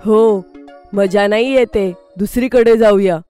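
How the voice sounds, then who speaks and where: A woman's voice: medium pitch, slow, dark tone, smooth, soft background music, natural voice. A woman voicing an earnest young schoolboy in a children's cartoon story, with a boyish manner. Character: eager young schoolboy, easily disappointed.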